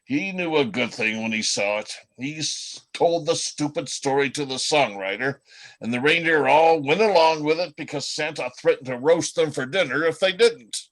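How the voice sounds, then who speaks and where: A man playing a chatty, world-weary reindeer in an amateur radio play, telling gossip in a folksy, halting way. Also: a little high, fairly bright tone, lightly acted, poor audio. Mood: indignant, gossipy.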